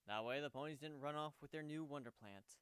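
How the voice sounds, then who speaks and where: A man voicing a gentle, wry male pegasus pony who speaks matter-of-factly in a story reading. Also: on the high side, a little fast, smooth, no room sound, close to an everyday voice. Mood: explaining.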